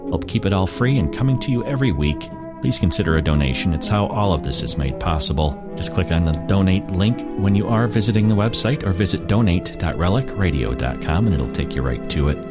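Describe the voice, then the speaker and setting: A man's voice: low-pitched, expressive, a little fast. A man giving a scripted podcast sign-off as the host. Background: prominent guitar music.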